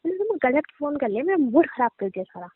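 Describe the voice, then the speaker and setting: A young woman arguing back at her boyfriend in a comedic phone call, sassy and quick to retort. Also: sits high, sing-song. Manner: sassy, argumentative. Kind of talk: scripted comedic phone banter.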